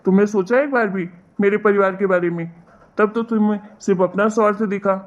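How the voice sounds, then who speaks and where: A woman plays an exasperated young cartoon husband in a mid-pitched voice. He lectures reproachfully, and the sound is dull and lo-fi. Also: fairly quick, close to an everyday voice. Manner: scolding, lecturing. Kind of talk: animated family drama dialogue.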